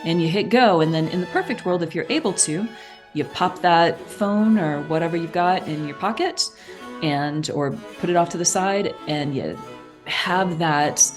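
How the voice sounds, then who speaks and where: A woman's voice: fairly low pitch, moderate pace, over music. A woman guest explaining things conversationally and expressively, acting out little examples as she goes. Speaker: podcast guest, artistic director and writer.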